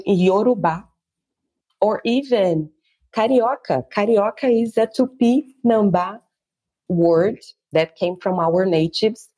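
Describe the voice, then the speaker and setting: A woman from Brazil with a Brazilian accent, a tour guide explaining history in careful, measured English as an interview guest. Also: mid-range voice, a little mellow. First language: Portuguese. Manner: measured, explanatory, searching for words.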